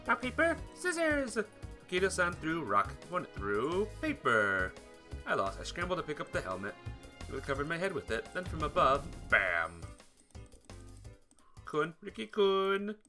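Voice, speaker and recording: Animated man with a bright voice. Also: a little high, moderate pace. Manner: animated, expressive reading. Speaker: streamer playing and reading a visual novel. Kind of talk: game playthrough, reading aloud and chatting to viewers. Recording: music behind the voice. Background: game music.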